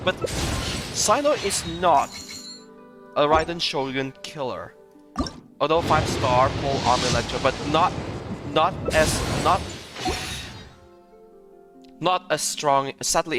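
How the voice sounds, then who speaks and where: A man streams casual game commentary in a fairly high voice that swings noticeably up and down. He talks haltingly and circles back over his own phrases. Loud music plays under everything he says. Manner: halting, repetitive, often laughing. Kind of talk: casual live gaming commentary.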